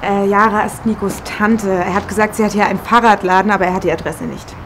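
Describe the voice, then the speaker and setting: A young woman playing a helpful, caring German friend who explains things clearly. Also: mid-range voice, fairly even intonation, quick pace, slight background noise, natural voice. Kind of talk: scripted German-learning drama.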